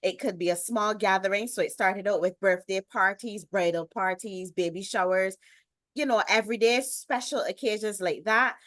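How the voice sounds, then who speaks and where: A Barbadian woman in her thirties speaks over a video call, expressive and emphatic as she introduces herself. Her voice sits at an average pitch. The sound is dry and close, with no room around it. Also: normal speed, no echo. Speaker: guest, business lifestyle strategist. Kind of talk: interview, personal introduction.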